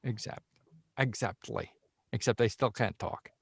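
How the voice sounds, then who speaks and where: A man's voice: on the low side, unhurried, gravelly, breathy edge, no echo. Dry-sounding man in rambling casual chat. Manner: jokey, rambling, repeats himself. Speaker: voice actor and participant in a script table read. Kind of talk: casual group chat after a table read. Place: indoors on a group video call.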